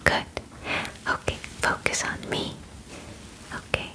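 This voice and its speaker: A woman in her twenties whispering close to the mic in an ASMR roleplay as an examiner, deliberate and formal in her phrasing. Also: rough recording. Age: 20s. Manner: slow, soft, deliberate, formal clinical phrasing. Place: small bedroom, indoors.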